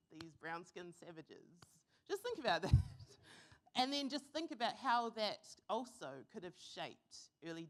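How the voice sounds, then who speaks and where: A young woman from New Zealand giving an earnest, reflective conference talk, pausing with fillers now and then. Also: mid-range voice. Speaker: conference speaker. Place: conference hall.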